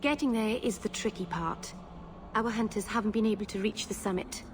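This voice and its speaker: A woman's voice: mid-range voice, brisk, slight background noise, close to an everyday voice. A woman voicing an earnest young female elf mage in a video game, hesitant and apologetic, tending to babble and interrupt herself. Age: young adult.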